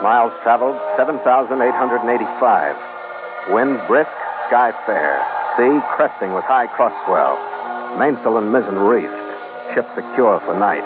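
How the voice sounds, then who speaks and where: An actor playing a stern ship's captain reading a log in clipped phrases on an old radio adventure. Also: male voice, medium pitch, animated, a little slow, lightly acted. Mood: stern, measured. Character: stern, steady male ship's captain logging entries. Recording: muffled old radio, loud music.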